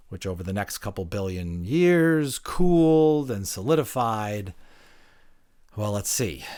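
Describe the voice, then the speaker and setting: A man playing an educated, unsure male pupil in an audiobook, halting as he thinks aloud and gropes for words. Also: mid-range voice, darkish tone, close to an everyday voice. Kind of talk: dramatic audiobook character acting.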